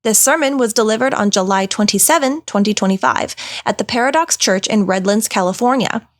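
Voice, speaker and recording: A woman reading a scripted weekly news roundup in an even, polished way. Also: medium pitch, brisk. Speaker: news host.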